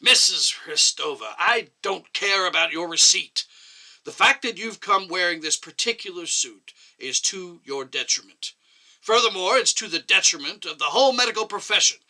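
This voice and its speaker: A forceful, piercing chairman played by a man reading a story aloud, stern and sarcastic. He interrogates with swooping emphasis, bearing down on whoever sits before him. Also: high voice, lively intonation, moderate pace, voice pushed up, heavily acted, low-quality recording. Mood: stern, scornful. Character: stern, sarcastic chairman of a disciplinary commission, an overbearing male doctor.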